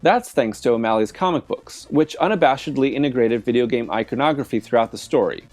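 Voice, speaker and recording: A man's voice: mid-range voice, lively intonation, fairly quick, darkish tone. A man delivering scripted film essay narration as a video essayist, with music playing behind him. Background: background music.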